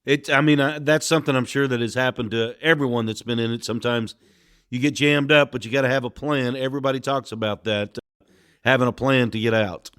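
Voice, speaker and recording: Male podcast host, steady and easygoing, dry studio sound. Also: mid-range voice, a little fast, clean tone, dry recording. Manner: affable, steady, with filler "uh"s. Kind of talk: interview podcast hosting.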